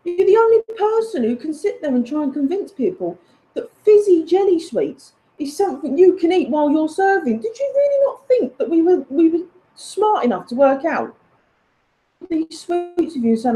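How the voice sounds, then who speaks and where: A woman's voice: high-pitched, dark tone, low-quality recording. A woman delivering an indignant, emphatic personal rant, addressed accusingly to someone who is absent.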